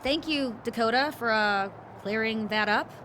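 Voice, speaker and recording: A woman's voice: on the high side, a little slow, a bit bright, slight background noise. A woman playing a polite, hesitant backstage interviewer in a game.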